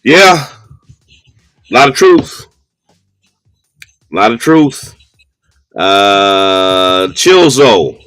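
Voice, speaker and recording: A man hosting a call-in radio show, talking in a measured way with many pauses and ums. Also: on the low side, sing-song, fairly bright tone, clean tone, no echo. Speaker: radio talk show host.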